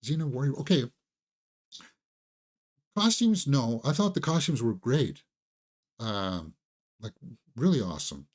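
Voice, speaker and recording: A man's voice: medium pitch, big pitch swings, a little slow, long pauses, a little mellow. A man hosting a casual, conversational film review, enthusiastic and opinionated, sometimes repeating words as he thinks aloud.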